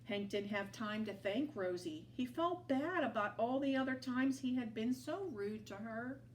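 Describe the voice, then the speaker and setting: A woman reading a children's story aloud to toddlers in an expressive storytelling style. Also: fairly high pitch, slight background noise. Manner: slow, animated read-aloud.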